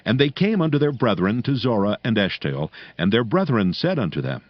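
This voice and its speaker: A man's voice: lowish voice, very animated, fairly quick. A man narrating scripture in a solemn, formal, dramatic storytelling manner. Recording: slightly dull audio, faint music.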